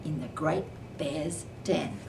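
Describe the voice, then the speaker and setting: A woman reads a children's storybook aloud like a teacher, slightly away from the mic with some faint noise. Her delivery is unhurried and expressive, stretching phrases for dramatic emphasis. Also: low-pitched, gravelly, far from the mic. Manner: drawn-out, dramatic emphasis on refrains. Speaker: first grade teacher.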